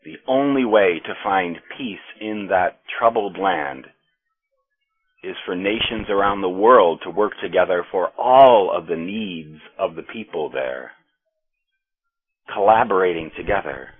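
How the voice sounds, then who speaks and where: An American man reading scripture and then preaching a sermon in a slow, measured way with pauses. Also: low-pitched, lively intonation, husky. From United States. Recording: tinny phone-like audio.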